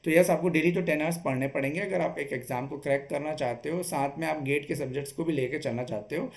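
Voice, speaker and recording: A man with a voice pitched a little higher than most men. He talks like a coach laying out a study strategy, explaining each step and punctuating it with short check-in tags. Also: quick pace, creaky. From Chhattisgarh, India. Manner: explanatory, often checks in with short tag questions. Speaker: exam coach. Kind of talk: instructional exam-strategy talk.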